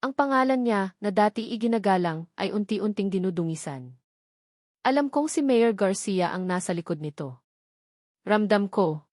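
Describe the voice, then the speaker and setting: A woman delivers scripted dramatic narration in the first person. The sound is dry, with little room around the voice, and the pitch sits in an ordinary female range. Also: animated, moderate pace, darkish tone, dry recording. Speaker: story narrator.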